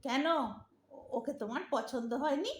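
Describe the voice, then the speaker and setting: A woman playing an exasperated, sharp-tongued young married daughter in an audio drama, bossy and impatient, with a Bengali accent. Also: high-pitched, expressive, normal speed. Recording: roomy, echoing audio drama recording.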